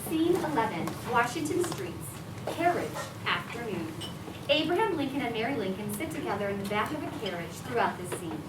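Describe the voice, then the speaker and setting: A woman's voice: fairly high pitch, sing-song, a little fast, background noise. A woman reading out stage directions as scripted narration. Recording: echoey room, distant mic, loud music behind.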